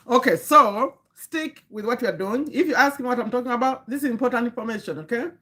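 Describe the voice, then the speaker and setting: A woman's voice: fairly high pitch, fairly bright tone. Earnest woman in her fifties. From Uganda. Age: 50s. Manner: earnest, lecturing, many restarts, greets viewers mid-sentence. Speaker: livestream host and community coach. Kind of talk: live-stream talk to chat viewers.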